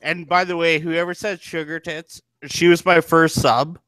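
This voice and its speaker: A man's voice: fairly high pitch, flat delivery, unhurried, a bit bright, clear, resonant tone, rough recording. A man joking bluntly on a group video call while drinking. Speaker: online video creator. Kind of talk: casual group chat.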